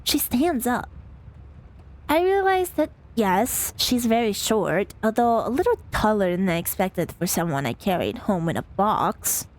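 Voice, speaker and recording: Visual novel heroine read by a woman: overworked office lady, dry asides, highish voice, slow delivery. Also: darkish tone. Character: overworked office lady narrator, wry, reluctant and kindly.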